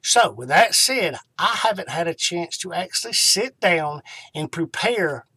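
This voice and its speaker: Middle-aged man, higher-pitched voice, informal devotional talk. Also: animated, unhurried, pushed and bright, breathy edge. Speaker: online ministry host.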